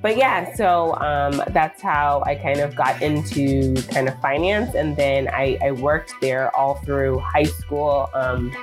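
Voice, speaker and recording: A woman's voice: deep voice, slow, hardly any pauses, over music. A woman in her thirties from the United States, chatting casually as a podcast guest and laughing often. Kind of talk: casual interview chat.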